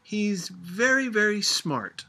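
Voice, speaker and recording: A man's voice: sits high, sing-song, slow, gravelly, pushed and bright, slightly rough, pitched above the performer's own voice, full character voice. A man voicing a patient, dry elderly grandmother in a storybook, giving terse, understated replies.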